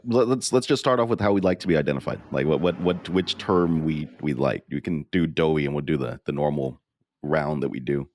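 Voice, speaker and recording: A man's voice: very deep voice, fairly quick, a little mellow, a little hiss or hum. A man hosting a podcast, talking in a casual, conversational way. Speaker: podcast host.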